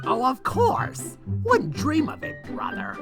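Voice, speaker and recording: A woman voicing a childish, hot-tempered male fire ghost villain with a high, scratchy, whiny voice in a fan story reading. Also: wildly swooping pitch, takes it slowly, over music, heavily acted. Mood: sly. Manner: whiny, shouty outbursts.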